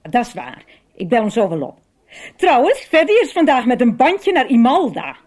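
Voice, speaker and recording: A lively, fairly high-voiced mother in a radio drama. She is forthright and easygoing, casually teasing as she talks. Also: normal speed. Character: forthright, easygoing mother sparring with her daughter. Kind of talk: radio soap acting.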